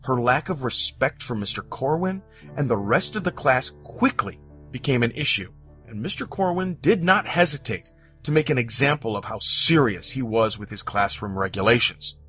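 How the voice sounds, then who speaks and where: A man from the United States narrating scripted personal stories, with dramatic, deliberate phrasing and pauses. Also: mid-range voice, lively intonation, sharp tone, slightly rough. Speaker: storyteller. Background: background music.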